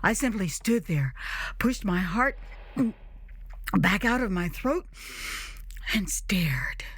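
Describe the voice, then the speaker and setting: An American woman narrating a first-person audio drama in a theatrical, expressive way with dramatic pauses. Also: mid-range voice, slightly rough. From United States.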